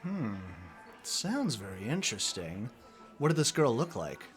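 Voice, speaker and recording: Man playing helpful thoughtful pal, medium pitch, measured, questioning, audio drama, faint music. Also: big pitch swings. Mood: curious, analytical. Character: thoughtful, helpful male friend who knows dream theory.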